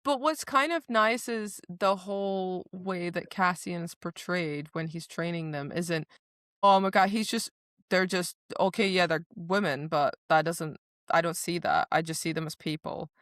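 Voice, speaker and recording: A woman co-hosting a podcast, casually discussing a book in an expressive, gushing way, acting out the dialogue. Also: fairly low pitch, a little slow, no echo, clean studio sound. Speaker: podcast co-host.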